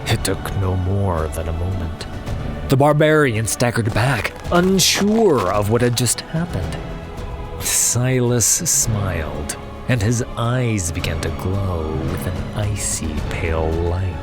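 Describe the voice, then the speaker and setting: Male narrator for dramatic fantasy tale: unhurried, lowish pitch, scripted read over clear music. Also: sing-song.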